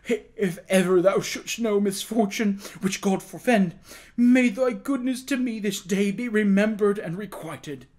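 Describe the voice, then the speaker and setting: A condemned prisoner from a historical storybook, as a male reader acts him out. The voice is pushed high and loud, imploring and terrified. He pleads in broken phrases, abject before his king. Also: animated, a little slow. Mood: passionate gratitude. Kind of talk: dramatized audiobook reading.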